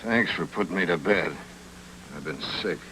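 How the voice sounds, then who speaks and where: An actor playing a hard-boiled private detective with terse, deadpan wisecracks, in 1940s film noir style. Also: male voice, low-pitched, normal speed, slight background noise, low-quality recording. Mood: weary defiance. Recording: old film soundtrack with loud music underneath.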